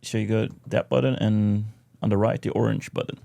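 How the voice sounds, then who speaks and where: A man's voice: lowish voice, little pitch movement, a little fast, gravelly, warm tone, no echo. A man co-hosting a casual game review chat, chipping in with short, laid-back remarks. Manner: brief, laid-back interjections. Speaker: co-host of a game review show.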